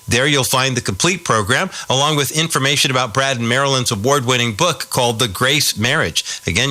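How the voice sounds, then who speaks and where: A man's voice: mid-range voice, sharp tone. An American man reading scripted narration as a radio program's announcer and host. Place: studio. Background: music.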